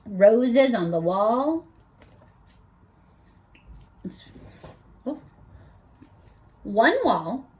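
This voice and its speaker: A woman's voice: fairly high pitch, rough recording. A woman teacher reading a picture book aloud to children, stopping to explain words and ask for their predictions. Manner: animated, asks children questions.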